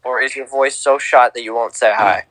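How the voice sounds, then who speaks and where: A young man co-hosting a casual sports podcast, teasing and lively, on a home mic. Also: medium pitch, moderate pace, no echo. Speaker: podcast co-host.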